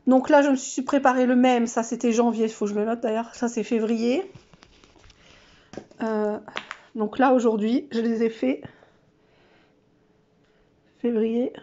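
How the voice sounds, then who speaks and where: Stuffed-up woman vlogger, nasal, mellow and slightly high, chatty and self-deprecating, dull lo-fi sound. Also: steady tone, a little slow, long pauses. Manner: chatty, self-mocking, trails off with fillers. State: stuffy nose from a cold. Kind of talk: casual monthly challenge review.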